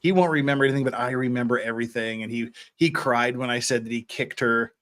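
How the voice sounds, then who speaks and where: A man's voice: medium pitch, fairly even intonation, fast talker, a bit bright. A man in his fifties hosting a livestream, chatting casually and reading out viewer comments in a rambling, jokey, giggly way. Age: 50s. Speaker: livestream host.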